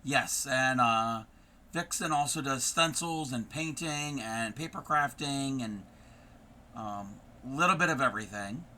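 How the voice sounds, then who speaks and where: A hoarse man who is losing his voice, hosting a casual live stream and chatting warmly with viewers by name, with many ums. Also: mid-range voice, a little slow. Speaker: craft live-streamer host.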